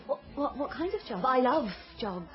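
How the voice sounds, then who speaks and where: A woman's voice: high voice, lively intonation, moderate pace, muffled recording, soft background music. A British actress playing a brash, nosy young woman who fancies herself a detective in a radio comedy drama, pushy and theatrical. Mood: falsely sweet.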